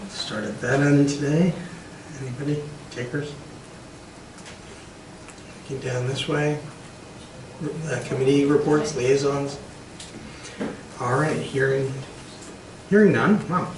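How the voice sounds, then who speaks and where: A man chairing a school board meeting, moving briskly through agenda items. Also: mid-range voice. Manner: brisk, informal with fillers. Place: meeting room. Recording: echoey room from far off, noisy.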